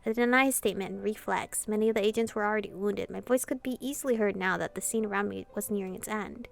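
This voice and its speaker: A woman's voice: mid-range voice, fast talker, gravelly. A woman gaming streamer reading a visual novel aloud, breaking into casual, giggly, chatty commentary between lines. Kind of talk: casual let's-play commentary mixed with read-aloud narration.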